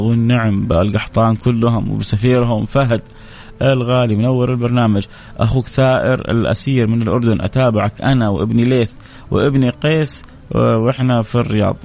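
A man's voice: medium pitch, creaky, darkish tone, a little hiss or hum. A man hosting a live radio program, reading out listeners' names and messages and answering each with a blessing.

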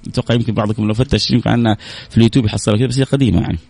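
A man's voice: on the low side, steady tone, very fast, creaky, a little mellow, husky, no room sound. A man hosting a radio show, chatting casually and joking with his listeners as he signs off. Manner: chatty, joking, laughs often. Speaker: radio host.

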